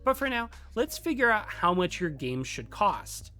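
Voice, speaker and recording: Animated explainer narrator over music. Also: medium pitch, measured pace. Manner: conversational, lightly playful asides. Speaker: video tutorial presenter.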